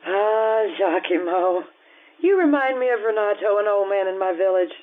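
An actress playing a blunt, warm-hearted Italian innkeeper in an old-time radio drama, earthy and frank in manner. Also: female voice, mid-range voice, moderate pace, phone-quality sound, natural voice. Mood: frank, teasing.

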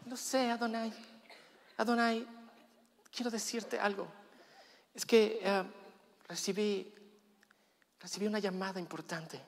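A woman's voice: mid-range voice, fairly even intonation, a little slow, pauses often, close to an everyday voice. A woman playing a devoted young wife from Colombia in an improvised comedy scene, talking earnestly and pleading with her husband. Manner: earnest, pleading, breaks into laughter. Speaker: improv performer. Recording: long hall reverb.

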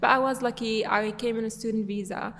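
A woman's voice: a little high, steady tone, fairly quick, fairly bright tone, big-room sound, a little hiss or hum. A young woman from Syria, a podcast guest, answering casually with many fillers and restarts.

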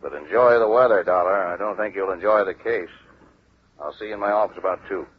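An actor playing a gruff, curt police lieutenant in a 1950s radio drama. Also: male voice, low voice, fairly quick, natural voice. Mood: curt, dry. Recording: muffled, hissy vintage radio recording.

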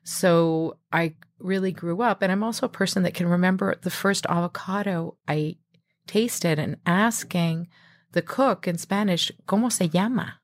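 Middle-aged woman guest, low voice, halting and full of fillers, dry close recording. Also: no room sound. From Mexico. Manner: hesitant, many fillers and restarts. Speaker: guest, chef and restaurateur. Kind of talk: casual interview talk.

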